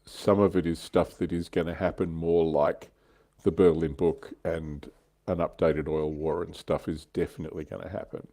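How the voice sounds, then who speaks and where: A man's voice: rumbling low, little pitch movement, moderate pace, warm tone. A middle-aged man co-hosting a casual livestream chat, bantering in a tongue-in-cheek way. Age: middle-aged or older. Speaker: livestream co-host. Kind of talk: casual panel chat about tabletop wargaming.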